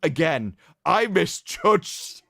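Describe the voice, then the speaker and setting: A man hosting a livestream, reading aloud in a mocking, theatrical way with sarcastic asides and drawn-out emphasis. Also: on the high side, big pitch swings, creaky, pushed and bright, dry recording, studio quality. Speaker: livestream host. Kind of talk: mocking dramatic reading of an article with commentary.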